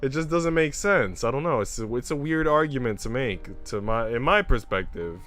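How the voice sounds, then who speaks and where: A man with a smooth, clear voice, livestreaming as he reads a visual novel aloud and chats casually. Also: mid-range voice, lively intonation, normal speed, fairly bright tone. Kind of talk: livestream narration and casual chat.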